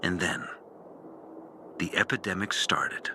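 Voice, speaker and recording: An actor playing a bitter, disillusioned former priest in a video game, speaking a brooding, deliberate confessional monologue with dramatic pauses. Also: male voice, low voice, steady tone, background noise. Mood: troubled, grim. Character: disillusioned former priest, bitter and intense, recounting his lost faith. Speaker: character narrating an audio journal.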